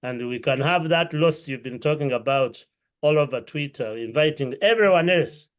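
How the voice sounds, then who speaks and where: A man from Uganda hosts a video podcast, his voice sitting a little higher than most men's. He greets viewers and his guest in an effusive, stretched-out way, speaking from a room at home over a video call. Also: moderate pace. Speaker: podcast host. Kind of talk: welcoming interview host. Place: home room with books and a clay pot.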